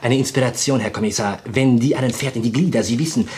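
An actor playing a nervous, theatrical pianist in his twenties in a radio play, with a foreign accent and gushing, halting speech. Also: mid-range voice, darkish tone, slight background noise, close to an everyday voice. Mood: rapturous. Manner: gushing, halting, melodramatic, inverted word order.

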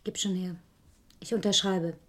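A woman's voice: lowish voice, fairly even intonation, moderate pace, fairly bright tone, lightly acted. An actress playing a proud but uneasy woman under suspicion in a 1970s radio crime play. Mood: uneasy, resigned. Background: typewriter clacking.

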